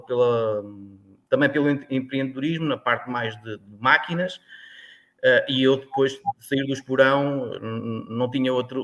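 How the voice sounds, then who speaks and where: A man in his forties with a European Portuguese accent, a guest telling his story in a casual chat, rambling with many restarts. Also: medium pitch. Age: 40s.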